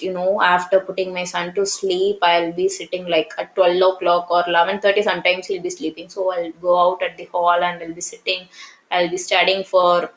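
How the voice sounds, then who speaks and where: A woman's voice: fairly low pitch, a bit bright, muffled recording. Tamil accented woman, 30s, earnest chatty english practice, echoey room sound. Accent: Tamil Indian English. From Tamil Nadu, India. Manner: earnest, fillers and restarts, reflective. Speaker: English trainer. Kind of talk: casual conversation practice. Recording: roomy echo.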